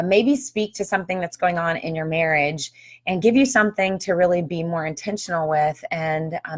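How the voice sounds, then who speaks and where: Casting note: woman host, slightly hoarse, chatty, lower pitch, upbeat live-stream talk. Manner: chatty and upbeat, with frequent ums. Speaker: author and marriage counselor hosting a live video. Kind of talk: casual live-stream chat. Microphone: phone or webcam on a live stream.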